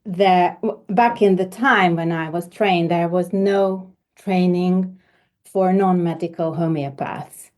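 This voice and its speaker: Slow, soft-spoken woman from Hungary. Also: fairly low pitch, steady tone. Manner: hesitant, many repeated words and fillers. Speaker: homeopath guest. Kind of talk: conversational interview.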